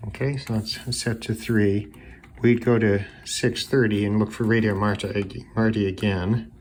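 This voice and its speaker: A man's voice: fairly low pitch, steady tone, moderate pace. A man giving a casual, unscripted hobby demonstration, thinking aloud in a conversational way. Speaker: radio hobbyist and video maker. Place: indoors at a desk with a radio. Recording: noisy room with radio sound.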